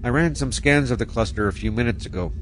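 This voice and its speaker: An actor playing a calm, matter-of-fact spaceship pilot. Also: male voice, medium pitch, quick pace, noisy background, lightly acted. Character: practical ship pilot, son of the ship's namesake. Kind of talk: audio drama acting. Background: background music.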